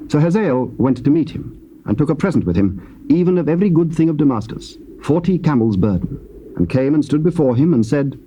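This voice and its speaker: A man narrating scripture in a measured, solemn reading. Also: a little high, lively intonation, warm tone, a little hiss or hum, rough recording.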